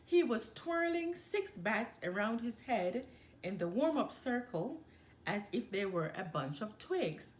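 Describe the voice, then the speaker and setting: Woman reading a storybook, slow and very animated. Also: a little high. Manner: expressive, measured storytelling. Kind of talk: children's picture book read-aloud. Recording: echoey room with faint background noise.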